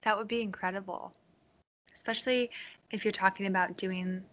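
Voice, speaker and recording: A woman's voice: mid-range voice, fairly even intonation, normal speed. Curious American woman hosting a podcast. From Connecticut, United States. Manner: warm interjections, curious questions. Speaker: podcast host. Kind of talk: casual interview conversation.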